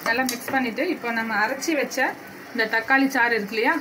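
A woman with a fairly high voice talks viewers through a recipe at her stove, taking it one step at a time and speaking to them directly. The recording has clear background noise and kitchen sounds. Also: steady tone. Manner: unhurried step-by-step instructions, addressing viewers directly. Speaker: cooking channel host. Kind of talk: home cooking demonstration. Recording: noisy home recording.

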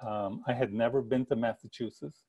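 A man's voice: medium pitch, steady tone, fairly quick, warm tone, husky. A middle-aged man from the El Paso area, an author giving an earnest talk about his past over a video call from an attic room at home. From El Paso area, Texas. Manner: earnest, gestures a lot, frequent restarts. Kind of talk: personal storytelling. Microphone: video call with earbuds.

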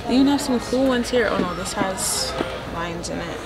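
A woman's voice: mid-range voice, expressive, normal speed, low-quality recording. A woman chatting casually to viewers as she shops in a large home goods store, exclaiming over items and prices, recorded on a handheld camera. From Canada. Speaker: vlogger. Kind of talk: casual shopping vlog.

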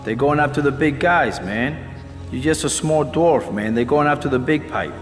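A man plays a blunt, streetwise convict in a video game. His mid-pitched voice is casual and rough-mannered, ringing in long reverb over faint music. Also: normal speed, close to an everyday voice. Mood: reassuring. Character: blunt, foul-mouthed, streetwise male prison inmate.

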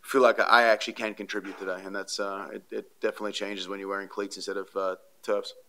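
Man at a podium, press room, bright forward tone, low and flat, lots of ums and restarts. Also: fast talker. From Australia. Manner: rambling, many fillers and restarts, earnest. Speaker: baseball pitcher at a postgame press conference. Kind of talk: press conference answers. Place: press room before a sponsor backdrop. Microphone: podium microphones.

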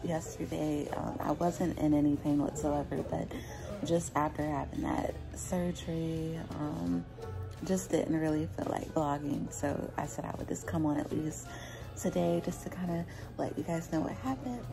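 A young woman talking quietly to her phone camera from a couch at home, low-key and rambling with fillers. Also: fairly even intonation, normal speed, creaky, over music. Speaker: vlogger, stay-at-home mom. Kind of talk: quiet casual chat to camera. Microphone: phone at arm's length.

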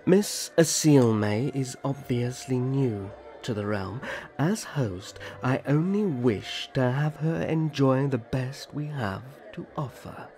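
A man playing a courteous, protective young elf prince in a fantasy audio drama, speaking in a formal, gentlemanly way. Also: medium pitch, expressive, slow pace. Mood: earnest, gallant.

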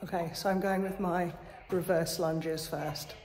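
A woman's voice: fairly low pitch, fairly even intonation, unhurried, a little mellow. A woman chatting casually to camera in a wry, self-deprecating way as she trains. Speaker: vlogger, injured athlete training. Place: small hotel gym, indoors. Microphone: camera at arm's length. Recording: echoey, reverberant hard-walled room.

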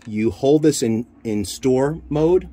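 A man giving an informal hands-on equipment demonstration, haltingly thinking aloud as he handles the gear. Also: medium pitch, expressive, dark tone, slightly rough. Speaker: radio hobbyist demonstrating equipment. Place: inside a military-style off-road vehicle. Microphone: handheld camera, one hand free. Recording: noisy handheld camera audio.

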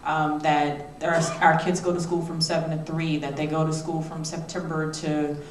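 A woman's voice: low-pitched, little pitch movement, moderate pace. A woman, a state legislator, giving earnest answers on a panel through a handheld mic. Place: theater stage. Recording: roomy, distant, faint noise.

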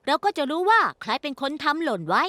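A woman's voice: sits high, sing-song, fast, dry recording, full character voice. A woman voicing a cheerful robot police car in a dubbed children's cartoon, tagging a beeping catchphrase onto lines. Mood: analytical. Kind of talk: Thai cartoon dubbing. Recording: loud children's music behind.

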